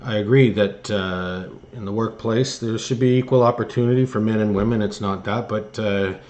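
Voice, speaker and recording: A man giving political opinion commentary as host, speaking in a halting, hesitant way with phrases that trail off. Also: lowish voice, fairly even intonation, darkish tone. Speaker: host of an online political news commentary show. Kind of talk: political opinion commentary, partly read from articles.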